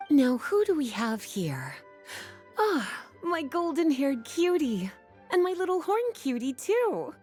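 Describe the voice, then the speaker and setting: A woman voicing a teasing, flirtatious librarian mage in a video game, speaking in a soft, playful, composed way. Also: high voice, huge pitch swings, slow pace, soft background music, full character voice.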